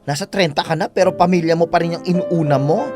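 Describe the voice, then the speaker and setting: A woman's voice: on the low side, fast talker, lightly acted. A woman playing a sassy, big-hearted salon owner with campy, slangy delivery in a Filipino audio drama. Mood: concerned, nagging. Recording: music under the voice.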